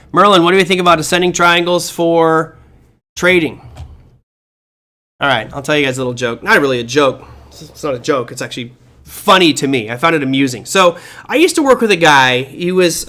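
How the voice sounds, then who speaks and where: A man's voice: fairly high pitch, bright, forceful tone. A man hosting a live trading show, answering viewer questions in a chatty, rambling, self-deprecating way.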